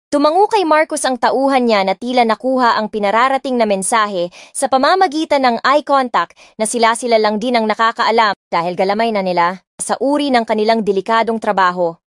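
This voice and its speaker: A woman's voice: on the high side, fairly quick, dry recording, clean studio sound, lightly acted. A young woman playing a lovestruck heiress, narrating her own romance story in an emotive, dramatic way. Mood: tearful, tense. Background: music.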